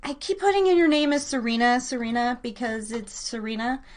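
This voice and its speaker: High-voiced woman hosting a live trivia game. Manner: excitable, playful, chatty, with drawn-out emphasis. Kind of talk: casual live-stream game hosting, chatting with listeners.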